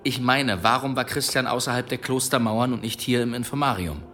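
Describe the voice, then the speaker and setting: An actor playing a shrewd, zealous medieval demon hunter in a German audio drama, probing and pointed, with archly polite irony. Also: male voice, medium pitch, a little fast, pushed and bright, faint music behind, lightly acted. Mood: probing, needling.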